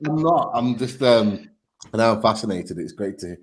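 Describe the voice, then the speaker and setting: A man's voice: on the low side, lively intonation, moderate pace, poor audio. A man co-hosting a casual chat, hesitant and fumbling with fillers. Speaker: co-host.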